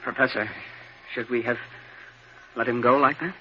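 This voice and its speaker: Actor as concerned assistant, muffled old radio. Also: male voice, medium pitch, normal speed, natural voice. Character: concerned, deferential male lab assistant to a professor. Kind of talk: 1950s radio drama acting. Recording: muffled, faintly noisy vintage radio.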